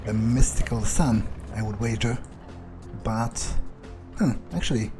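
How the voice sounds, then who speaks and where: A cynical, self-serving vampire, roleplayed by a male gamer, musing aloud through a video game. His pitch is middling and his manner hesitant. Faint music sits behind him. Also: a little slow, lightly acted. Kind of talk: in-character game playthrough, thinking aloud.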